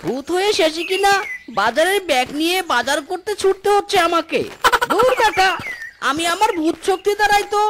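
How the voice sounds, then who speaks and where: Squeaky, whiny cartoon husband. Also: a little fast, noisy background. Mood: grumbling, evasive. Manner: theatrical, complaining, calling out. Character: cartoon village husband, whiny, wheedling, blustering. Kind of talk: animated folk-tale cartoon acting. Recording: clear background music.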